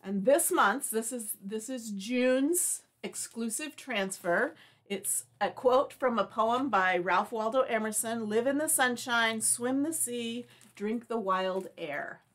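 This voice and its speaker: A woman's voice: fairly high pitch, takes it slowly, fairly bright tone. A middle-aged woman hosting a live craft demo from her home craft room, chatty and rambling, sniffling with allergies. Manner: chatty, friendly, rambling with restarts. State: stuffy nose, sniffling from allergies. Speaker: craft show host.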